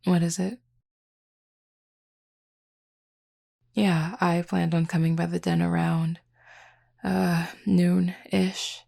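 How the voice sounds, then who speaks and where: A woman playing a flirty, confident female werewolf in an intimate audio roleplay, with a husky, gravelly voice and a teasing, drawling, playfully commanding manner. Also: lowish voice, flat delivery, slow pace, mellow, full character voice. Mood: wry, casual banter.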